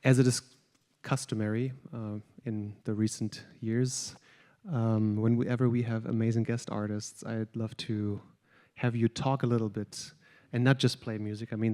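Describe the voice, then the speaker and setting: A man's voice: on the low side, mellow. A man hosting an onstage interview with guest musicians, speaking in a friendly, hesitant way with many ums and uhs. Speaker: host, jazz studies director.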